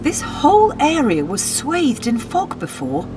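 Brisk woman survey leader, clipped orders, high lively voice, windy audio drama scene. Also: recorded from a distance, over music, exaggerated voice. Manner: commanding, clipped.

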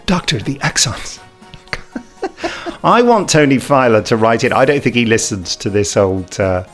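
A man's voice: medium pitch, animated, a little slow, faint music behind. A man hosting a podcast, chatting casually and reading out reviews in a jokey, stumbling way. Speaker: podcast host.

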